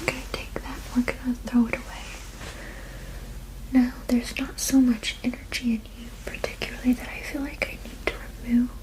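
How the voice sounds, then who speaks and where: Soft whispering woman, soothing ASMR roleplay. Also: on the high side, level tone, rough recording. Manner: soothing, reassuring, unhurried. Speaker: ASMR performer playing a witch sleep therapist.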